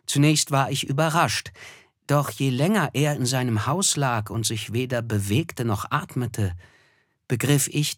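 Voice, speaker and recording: An actor playing a reflective, melancholy narrator in a literary radio play, speaking in a measured, solemn way. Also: male voice, mid-range voice, breathy edge, dry recording, studio quality. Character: reflective, melancholy male narrator recalling a tragedy.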